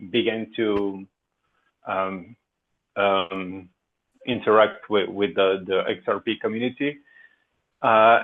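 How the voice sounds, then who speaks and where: A man with an accent mixing French and Portuguese, chatting casually as a podcast guest, hesitant with many fillers. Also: fairly low pitch. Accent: French and Portuguese mix. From France. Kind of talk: casual chat. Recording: tinny phone-like line.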